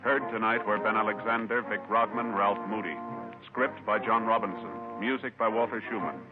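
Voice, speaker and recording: A man's voice: on the low side, steady tone, moderate pace. An American man announcing formally from a script in 1950s radio style. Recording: muffled 1950s radio, loud music.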